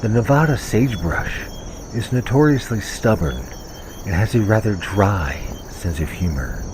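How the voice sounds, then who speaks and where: A man playing a pedantic, unflappable alien plant scientist in a sci-fi comedy audio drama, speaking formally and deadpan. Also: fairly low pitch, unhurried, noisy background. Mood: formal, wry.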